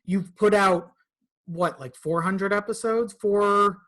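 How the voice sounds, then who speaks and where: A man's voice: sits high, steady tone, slow pace, fairly bright tone. A man talking casually as a podcast guest, informal and conversational, with some swearing. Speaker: podcast guest, content creator.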